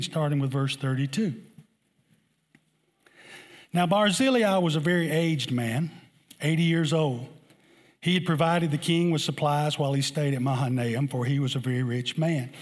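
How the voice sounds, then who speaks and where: A man preaching to a congregation in a conversational way, repeating his points and putting questions to his listeners. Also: fairly high pitch. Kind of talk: sermon to a congregation.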